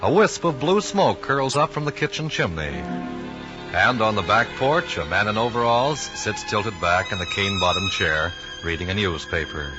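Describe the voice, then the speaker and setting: A man's voice: lowish voice, animated, moderate pace. A man announcing and narrating a vintage radio drama in a formal storytelling style. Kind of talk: 1940s radio scripted narration. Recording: dull old radio broadcast recording. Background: music.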